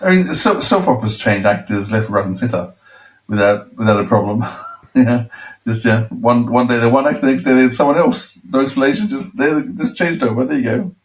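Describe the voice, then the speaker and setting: A man in casual podcast conversation, heard a little away from the microphone. His voice sits at a middling pitch, and he rambles, doubling back on words as he goes. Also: recorded from a distance. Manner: rambling, halting with repeated words. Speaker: podcast panellist discussing audio dramas. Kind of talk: casual chat.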